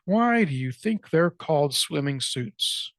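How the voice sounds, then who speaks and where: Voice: medium pitch, animated, slow pace, no echo. Reader doing a whiny dim turkey guy from a kids book, bossy, complains and exclaims, dry sound. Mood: exasperated, know-it-all. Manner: exclaiming, complaining, theatrical sighing. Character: Bob, fretful, dim, self-important male turkey.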